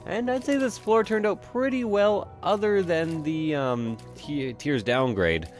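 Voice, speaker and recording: A man's voice: a little high, expressive, measured pace, soft background music. A congested young man giving casual, rambling let's-play commentary and cursing now and then. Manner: rambling, chatty, swears casually. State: stuffy, congested. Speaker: gameplay commentator.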